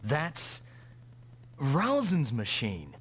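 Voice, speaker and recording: An actor playing a calm, determined male mech pilot in an anime-style English video game dub. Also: mid-range voice, extremely slow, slight background noise, exaggerated voice. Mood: calm, matter-of-fact.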